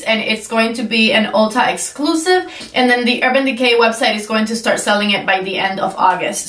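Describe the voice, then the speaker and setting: A woman's voice: on the high side, a bit bright. A young woman reviewing makeup in a casual, chatty way, her voice stuffy and congested from a cold. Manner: chatty, enthusiastic, many "like" fillers. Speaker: beauty vlogger. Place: indoor room with shelves. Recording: roomy echo, voice slightly far from mic.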